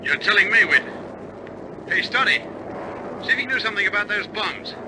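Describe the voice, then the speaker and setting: A man in an old radio drama playing a bomber captain, clipped and commanding, steady as he steers a crippled aircraft. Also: sits high, fairly quick. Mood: tense, determined. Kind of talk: 1950s radio drama acting. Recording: old radio recording, loud aircraft engine noise.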